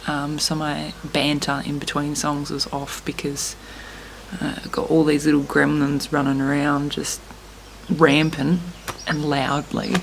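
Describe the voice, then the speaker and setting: A woman with a croaky voice, talking candidly in a vlog about her festival shows, pausing often. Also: low voice, a little hiss or hum, low-quality recording. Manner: thoughtful, candid, many pauses and fillers. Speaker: musician vlogging at a festival. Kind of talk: reflective casual vlog talk.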